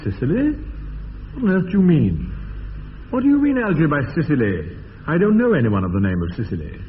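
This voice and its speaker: A stuffy young English gentleman sounds out through a narrow, tinny radio recording with long reverb. He is upper-class, evasive and easily affronted, his pitch rising and falling sharply over formal phrases. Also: huge pitch swings, fairly quick, a little hiss or hum. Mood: startled, defensive. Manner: pompous, indignant, clipped formal phrasing. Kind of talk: radio drama acting of period comedy.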